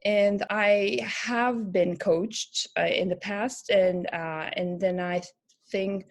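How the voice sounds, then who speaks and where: A woman from Turkey, a career coach and pharmacist, talking in a casual interview in a thoughtful, halting way with many fillers and restarts. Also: medium pitch, steady tone.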